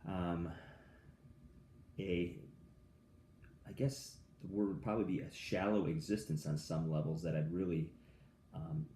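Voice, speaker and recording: American man, very low voice, hesitant reflective monologue, roomy echo. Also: lively intonation, measured pace, long pauses, mellow. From United States. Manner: hesitant, many ums and restarts. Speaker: vlogger. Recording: echoey room with faint ambient noise.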